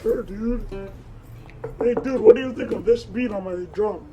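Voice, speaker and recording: A man's voice: sits high, a little slow, highs cut off, over music. A young man voicing a friendly, laid-back young male drummer in a homemade audio story. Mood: casual. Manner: casual, laid-back, says "dude".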